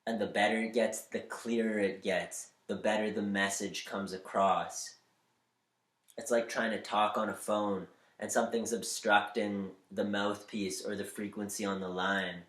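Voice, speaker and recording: A man's voice: lowish voice, a bit bright. Upbeat slightly nasal man, echoey room. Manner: upbeat, spiritual, shifts between fast and slow. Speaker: vlogger giving voice advice. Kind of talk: motivational talk to camera.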